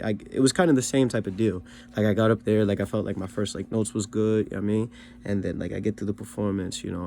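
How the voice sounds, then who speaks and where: A man's voice: fairly low pitch, gravelly, warm tone, slight background noise. A young man casually recapping his karaoke shows in a laid-back, slangy way, full of fillers like "you know what I mean". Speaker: rapper learning to sing, vlog host.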